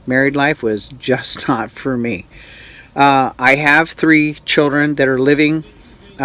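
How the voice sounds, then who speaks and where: A man's voice: mid-range voice, a bit bright. Folksy man in his sixties. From Utah. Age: 60s. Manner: folksy, unhurried, many filler pauses. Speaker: vlog host. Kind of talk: casual Q&A vlog.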